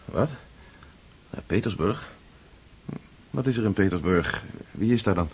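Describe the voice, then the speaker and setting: A man's voice: low-pitched, animated, unhurried, husky, full character voice. An actor playing a pensive, absent-minded nobleman who speaks in a musing, hesitant way. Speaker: Pierre, a nobleman. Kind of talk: radio drama acting.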